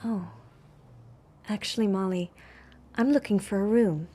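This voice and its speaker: A woman's voice: mid-range voice, measured pace, dark tone, natural voice. An actress playing a polite but guarded American woman traveller, reserved and understated, in a naturalistic radio drama. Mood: distracted, reserved. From Seattle, United States. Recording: background noise, vehicle and room ambience.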